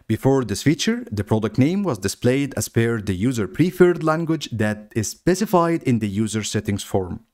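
Man narrating a software walkthrough. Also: medium pitch, moderate pace, hardly any pauses. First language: Arabic. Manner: explanatory, steady step-by-step narration. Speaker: software tutorial presenter. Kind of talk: screen-recorded software walkthrough.

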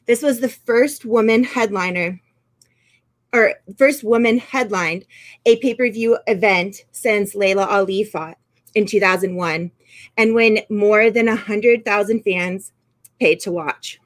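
A woman's voice: mid-range voice, a little slow. A woman in her thirties co-hosting a sports talk show over a video call, in a casual style. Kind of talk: casual chat and sports report.

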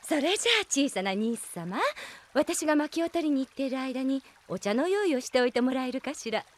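High-voiced, gentle, refined mother. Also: animated, brisk. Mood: playful, tender. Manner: graceful, polite, tender. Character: gentle, refined young mother, affectionately teasing. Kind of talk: Japanese fantasy audio drama acting.

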